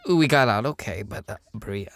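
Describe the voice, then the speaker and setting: An actor playing a sombre, respectful male war refugee with deliberate, halting phrasing in an audio drama. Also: on the low side, animated, vocal fry, fairly bright tone, dry recording.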